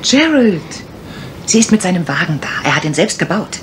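A woman's voice: on the low side, sing-song, a little fast, noisy background. An actress playing an alert, inquisitive female detective in a German radio crime drama. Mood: curious.

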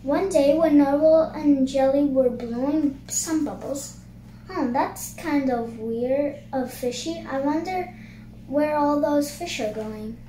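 A young girl reading a picture book aloud informally, halting over words and adding chatty asides. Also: sits high, warm tone. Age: child. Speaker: reader and host of a read-aloud video. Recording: noisy, roomy home recording.

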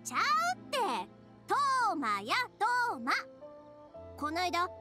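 A woman's voice: very high-pitched, takes it slowly, exaggerated voice. A woman playing a cheerful, chatty young fireworks craftswoman with a Kansai accent in an anime game. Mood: insistent, cheerful. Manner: peppy, animated, talkative. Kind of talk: Japanese anime video game voice acting. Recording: background music behind dialogue. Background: game soundtrack music.

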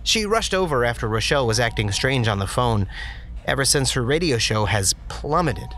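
A man's voice: mid-range voice, very animated, moderate pace, fairly bright tone, a little hiss or hum. A man playing a goofy pun-loving office guy. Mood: chatty. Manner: joking, chatty, laughs at own puns. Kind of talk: audio drama interview monologue.

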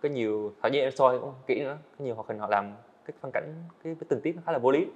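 A man's voice: medium pitch, rapid-fire, a little mellow, muffled recording. A young man, a guest animator, earnestly explaining his work step by step in a casual interview on a studio set.

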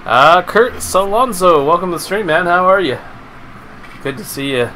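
A man's voice: sits high, animated, moderate pace, sharp tone. A man in his thirties chatting casually and informally with viewers while livestreaming a video game from home, swearing now and then. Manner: informal, profane, talking to chat. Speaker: live game streamer. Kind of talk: casual livestream gaming chat and commentary. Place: indoors at a home streaming setup. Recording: noisy stream with clear background noise.

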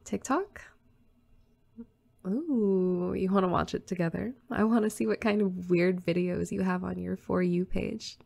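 A woman playing a playful, teasing young girl next door in an intimate, casual roleplay audio, soft-spoken and giggly. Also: on the low side, unhurried, gravelly.

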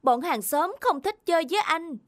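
A woman voicing a bratty, excitable little boy in a cartoon, blunt and petulant. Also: very high voice, normal speed, no room sound, clean studio sound. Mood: sulky. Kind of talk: Vietnamese children's cartoon dubbing.

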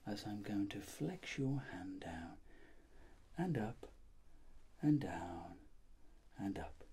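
A middle-aged man speaking in a soft, breathy, mostly whispered voice, gently and deliberately explaining each step as a doctor in an ASMR role-play. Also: lowish voice, slight background noise. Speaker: ASMR performer playing a doctor examining a patient.

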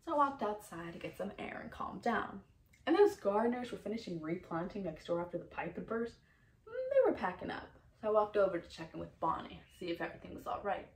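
A woman performing a comedic stage monologue as a frantic, indignant woman, telling her story in an animated, emotional way. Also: mid-range voice, reverberant, distant mic, rough recording. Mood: agitated. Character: frantic, indignant woman recounting a furious outburst.

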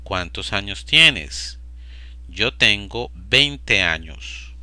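A man slowly and deliberately repeating short phrases for language learners. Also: fairly low pitch, expressive, bright, forceful tone, no room sound. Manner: slow, deliberate, evenly paced. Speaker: Spanish teacher. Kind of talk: slow repetition drill for learners.

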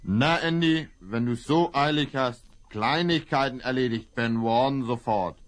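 An actor playing a hearty, friendly blacksmith greeting a boy warmly in a 1970s radio play. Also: mid-range voice, unhurried, lightly acted. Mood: jovial. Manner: jovial, familiar.

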